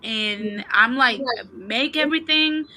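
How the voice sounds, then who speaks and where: A woman's voice: on the high side, slow pace, pushed and bright. A woman guest on a livestream, giving her opinions in a casual talk and often restarting her points as she thinks them through.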